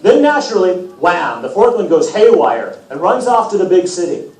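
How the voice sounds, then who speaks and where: Man playing a vain, breezy god, swooping pitch. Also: big pitch swings, moderate pace, darkish tone. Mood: exasperated. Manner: slangy, self-important, breezy. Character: vain, breezy, demanding Greek god of music. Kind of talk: storybook character read aloud. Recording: roomy, distant, noisy event recording.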